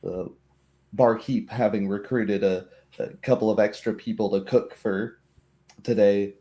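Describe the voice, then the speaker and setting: A man narrating a tabletop roleplay as game master, deliberate and descriptive, pausing between phrases. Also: on the low side, steady tone, dark tone.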